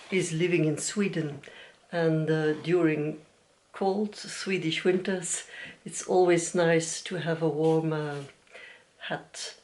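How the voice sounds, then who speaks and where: Soft-spoken woman, slow and hesitant. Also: on the low side. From Belgium. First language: Dutch. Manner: gentle, hesitant, many fillers and small restarts, a little nervous. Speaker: knitting podcast host. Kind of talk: casual video podcast chat. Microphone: camera mic.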